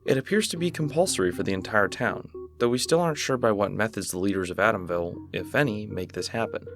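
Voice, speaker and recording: A man's voice: on the low side, fast, creaky. A man hosting a video, casually breaking down a horror series in a conversational manner. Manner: relaxed, conversational, enthusiastic asides. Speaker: YouTube host explaining a horror series. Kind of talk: casual analysis commentary. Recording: voice-over with music behind.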